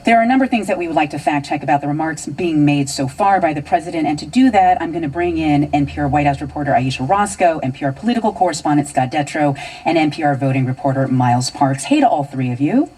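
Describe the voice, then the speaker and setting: A woman's voice: sits low, lively intonation, fast talker, few pauses, a little mellow, slightly rough. An American woman anchoring live radio news, introducing guests and putting questions to them. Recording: distant car radio picked up by camera.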